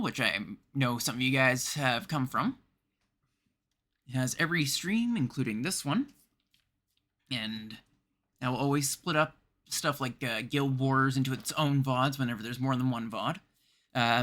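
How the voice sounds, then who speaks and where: A man's voice: medium pitch, sharp tone. A man from Canada chatting casually as he livestreams a game.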